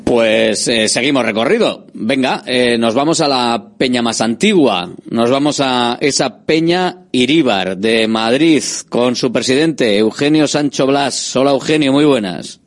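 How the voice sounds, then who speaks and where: A man's voice: medium pitch, a little slow, few pauses. A man hosting a radio sports show, interviewing guests in a friendly, chatty way.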